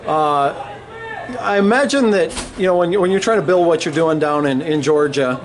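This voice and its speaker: A man's voice: fairly high pitch, moderate pace. A man interviewing a coach at a wrestling tournament, conversational and restarting his questions. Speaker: wrestling reporter. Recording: loud arena crowd behind. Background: crowd noise.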